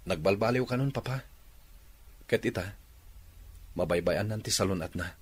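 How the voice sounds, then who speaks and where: An actor playing a troubled young Filipino son in a radio drama, subdued and hesitant in manner. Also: male voice, on the low side, moderate pace, breathy edge.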